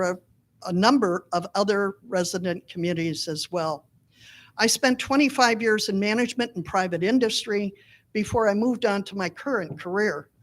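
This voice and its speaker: A middle-aged woman presents herself as a council candidate in a prepared speech. Her voice is of medium pitch for a woman.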